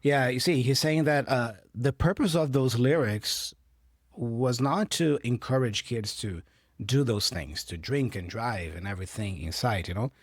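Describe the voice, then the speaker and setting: A man's voice: medium pitch, unhurried, slightly rough, no echo, clean studio sound. A man with a Brazilian Portuguese accent, a native Portuguese speaker, patiently explaining English phrases from a clip for learners and checking in often. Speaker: English teacher.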